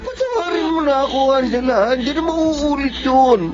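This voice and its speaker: An actor playing a deceitful, pleading lover full of empty promises in a Filipino radio drama, with a Filipino accent. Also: male voice, very high-pitched, sing-song, normal speed. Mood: desperate, begging. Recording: dull lo-fi radio sound, music, crowd noise.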